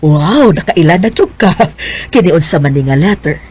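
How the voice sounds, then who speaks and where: An actress playing a devoted, caring middle-aged wife in a radio drama, with a Bisaya Filipino accent. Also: female voice, low-pitched, lively intonation, moderate pace, a little mellow, husky. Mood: gently encouraging. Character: devoted, caring wife, anxious for her ailing husband. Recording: noisy radio drama with background ambience.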